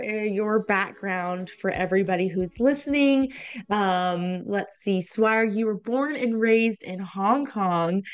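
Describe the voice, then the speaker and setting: Woman podcast host, cheery and encouraging, middle pitch, lots of um. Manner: upbeat and encouraging, frequent ums. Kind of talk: casual interview hosting.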